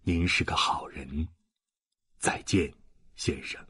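A man voicing an anguished, weary old ex-convict with dignity in a dramatic, halting audiobook reading. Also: extremely low pitch, fairly even intonation, sharp tone, breathy edge, no room sound, studio quality, voice pushed down, exaggerated voice. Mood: calm, humble.

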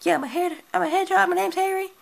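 Performer doing a tiny friendly hedgehog, very high and squeaky with some fry, rambling. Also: fairly even intonation, very fast, full character voice. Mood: cheerful. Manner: squeaky, rambling, fumbling speech. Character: friendly furry male hedgehog, small.

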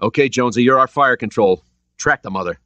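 A man voicing a gruff male American submarine commander giving brusque, salty orders. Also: medium pitch, fast talker, sharp tone, no echo, highs cut off, voice pushed up, lightly acted.

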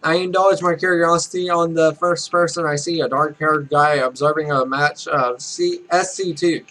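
A man's voice: a little high, steady tone, moderate pace, bright, forceful tone, low-quality recording. A man in his twenties reading game text aloud with casual, joking, self-mocking commentary. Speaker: Let's Play streamer. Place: small room at home.

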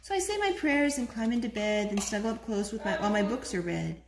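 A woman in her thirties reading a picture book aloud to small children in an expressive, singsong way at home. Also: mid-range voice, fast. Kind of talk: storytime read-aloud. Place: living room at home, on a sofa. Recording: roomy, noisy home video recording.

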